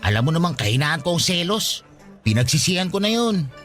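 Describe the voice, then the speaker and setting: A man plays a lovesick young fast-food worker with a high, forceful voice. He is jealous, whiny and pleading, radio-drama style, and his pitch leaps about animatedly. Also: big pitch swings, soft background music. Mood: defensive. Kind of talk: Tagalog radio drama acting.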